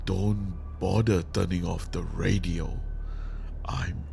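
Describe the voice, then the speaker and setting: A man acting a grieving, unhinged father turned vengeful villain in a dramatic audio monologue, with menacing, anguished delivery and long pauses. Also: low-pitched, little pitch movement, vocal fry, dark tone, soft background music, full character voice. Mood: cold menace.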